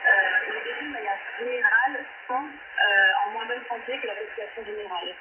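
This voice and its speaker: A woman's voice: a little high, brisk. A woman explaining things as a radio interview guest, articulate with small hesitations. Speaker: interview guest, human rights researcher. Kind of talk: spontaneous explanatory interview answers. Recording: noisy muffled shortwave radio reception. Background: strong radio static.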